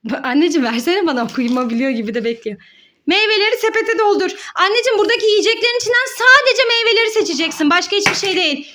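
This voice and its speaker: A young woman playfully hosting a children's game as a mother, encouraging and coaxing in an animated, sing-song way. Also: extremely high pitch, racing, a little hiss or hum.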